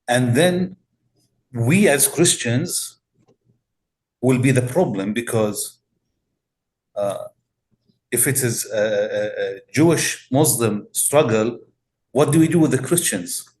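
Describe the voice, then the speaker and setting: A male pastor from Palestine with a Palestinian Arabic accent, answering interview questions in a measured, deliberate way with many pauses and fillers. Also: medium pitch, animated, darkish tone.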